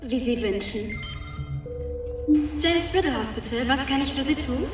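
A polite but unbending hospital nurse in a radio drama. Her high voice is formal and officious, echoey and set back from the mic, with loud music behind. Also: animated, measured pace, far from the mic. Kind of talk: German radio drama acting.

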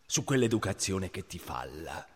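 An actor playing an oily, scheming rogue in a radio play, mannered, florid and wheedling. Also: male voice, low voice, sing-song, moderate pace, a little mellow, slightly rough, exaggerated voice. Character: oily scheming swindler rogue preying on a child. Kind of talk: theatrical radio play acting. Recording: long reverberant echo.

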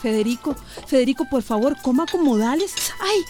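A woman's voice: high-pitched, animated, a little fast, darkish tone, soft background music. A flustered Costa Rican mother. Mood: fussing. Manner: flustered, scolding. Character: busy, fussing village mother.

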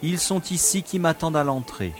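An actor playing a courteous prison director in an audio drama, with florid, polite phrasing and a matter-of-fact manner. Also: male voice, a little high, fairly quick, natural voice. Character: courteous, genial prison director, proud of his inmates.

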